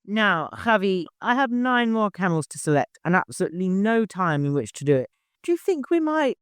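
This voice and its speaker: A man voicing a hurried, no-nonsense master organizing a caravan in an audiobook, courteous but commanding. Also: high voice, sing-song, fairly bright tone, smooth, no room sound, clean studio sound, voice pushed up. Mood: polite impatience.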